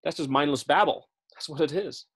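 A man's voice: fairly high pitch, fairly quick, a bit bright, husky. Chatty man leading a Bible study. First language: English. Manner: chatty, checks in with listeners often; frequent fillers and self-corrections. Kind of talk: casual Bible teaching.

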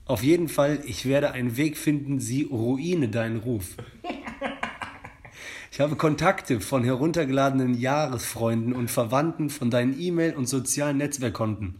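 A man's voice: medium pitch, moderate pace, darkish tone. A young man hosting a casual podcast, reading a scam email aloud in a mock-formal, joking way. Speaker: podcast host. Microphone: studio microphone.

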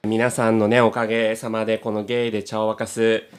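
A man's voice: fairly low pitch, completely flat delivery, a little fast, clear, resonant tone, faint music behind. A man hosting a podcast and reading scripted narration as its presenter.

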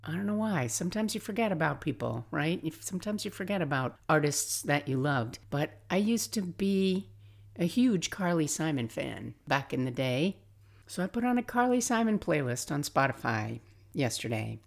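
An older woman hosting a podcast, telling personal stories in a casual, reflective, confiding and expressive way. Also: low-pitched, moderate pace, creaky. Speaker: podcast host.

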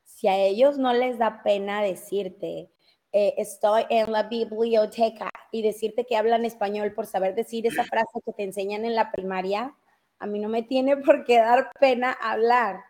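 A woman's voice: a little high, fairly even intonation, a little slow, darkish tone. Brief: woman host from Mexico, Mexico City accent, rambling casual talker full of fillers. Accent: Mexico City Spanish. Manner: talkative, many fillers and self-interruptions. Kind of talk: casual live news chat.

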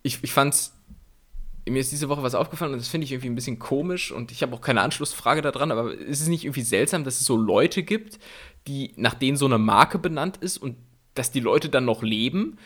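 A young man co-hosting a podcast, chatting casually, animated and quick to laugh. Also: mid-range voice. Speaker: podcast co-host. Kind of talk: casual chat.

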